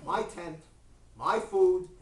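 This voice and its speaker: A man's voice: sits high, takes it slowly, a little mellow. A man telling a comic story, voicing a zealous, hot-tempered patriarch who talks in blunt slang. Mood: indignant. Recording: roomy, distant mic with background noise.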